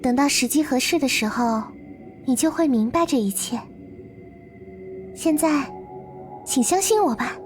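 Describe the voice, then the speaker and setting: A woman playing a mysterious, devoted girl in a visual novel game, speaking in a soft, earnest, coaxing manner. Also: sits high, measured pace, pauses often, soft background music. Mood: gently reassuring. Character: Lilith, mysterious devoted girl from beyond the world.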